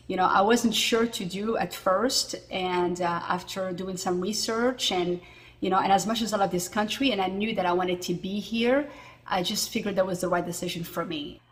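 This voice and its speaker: A woman in her forties from Morocco, talking casually to camera as a vlogger, with a lot of filler words. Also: medium pitch. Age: 40s. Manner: chatty, with frequent fillers.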